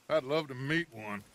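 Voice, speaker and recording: A grandiose American outlaw leader in a western game, courtly and sure of himself. His speech has a gravelly creak, a bright edge and lively swings. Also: mid-range voice, a little hiss or hum. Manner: courtly, self-assured. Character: smooth-talking, grandiose outlaw gang leader, confident male. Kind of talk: western video game cutscene acting.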